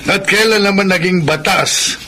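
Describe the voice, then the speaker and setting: An actor playing an indignant, reproachful brother who admonishes emphatically in a Filipino radio drama. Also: male voice, high voice, moderate pace, sharp tone. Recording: noisy radio drama recording.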